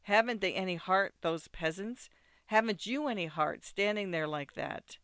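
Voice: mid-range voice, moderate pace, fairly bright tone, smooth, dry recording, studio quality, lightly acted. A narrator voicing a distraught, headstrong young wife in a storybook, exclaiming, pleading and reproaching. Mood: indignant pity.

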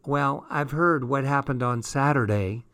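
A haughty teenage girl from an audiobook diary, read by a man. She is a cold, condescending rival, voiced at a middling pitch in a dry recording. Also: a little slow, fairly bright tone, no room sound. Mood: coldly accusing.

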